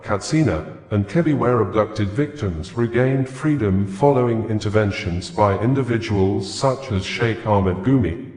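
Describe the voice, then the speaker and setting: Male news narrator, lowish register, formal scripted delivery, noticeably roomy sound. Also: darkish tone. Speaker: news reader.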